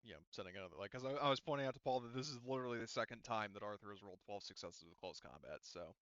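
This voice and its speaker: A man narrating a tabletop roleplaying game as game master in a casual, halting way, thinking aloud as he goes. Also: fairly low pitch, fairly bright tone, smooth, dry recording, muffled recording.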